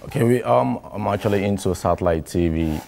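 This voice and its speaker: A young business owner speaks in a very low, mellow voice. His talk is relaxed and full of "you know", recorded on a lapel mic in a TV studio. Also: fairly quick, vocal fry. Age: young adult.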